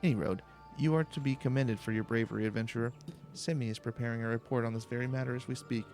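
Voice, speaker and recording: Man, low gravelly voice, calm unhurried game read-aloud, deliberate formal phrasing, faint music behind. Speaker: let's play streamer reading game dialogue aloud. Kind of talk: calm, slow-paced read-aloud narration.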